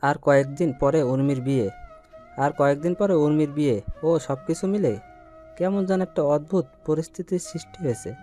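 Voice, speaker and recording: A male actor as an earnest, middle-aged family patriarch in an audio story. His voice is fairly high for a man and soft-edged, with affectionate, fatherly concern. Music plays underneath. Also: normal speed, lightly acted. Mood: worried. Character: worried, fatherly uncle, wealthy family head and businessman. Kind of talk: Bengali audio story acting.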